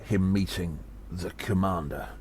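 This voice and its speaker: A man playing a weary gnome investigator in an audio drama, musing haltingly and deliberately with breathy sighs. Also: low voice, darkish tone. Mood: grave, pondering. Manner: slow, deliberate, halting, pondering. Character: weary gnome investigator reporting on a spy conspiracy. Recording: faint background noise.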